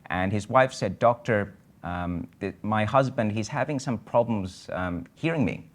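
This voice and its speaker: Man giving a measured medical lecture. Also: fairly low pitch. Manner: measured, explanatory, frequent ums. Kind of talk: conference lecture.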